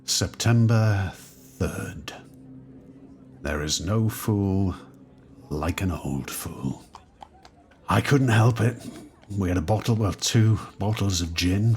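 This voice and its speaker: An actor playing a troubled, guilt-ridden middle-aged time traveller with a husky voice, in an audio drama. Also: male voice, low-pitched. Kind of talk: audio drama diary monologue and dialogue.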